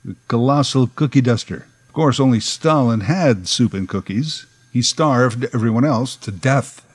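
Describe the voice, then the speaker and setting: A man's voice: mid-range voice, normal speed, husky, no room sound. A middle-aged man, giving a sardonic, opinionated podcast monologue. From Canada. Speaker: podcast host.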